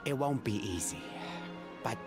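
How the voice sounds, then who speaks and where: A man voicing a kindly, wise adoptive father in a video game, gentle, reflective and reassuring. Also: mid-range voice, expressive, measured pace, over music, close to an everyday voice. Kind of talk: video game cutscene acting.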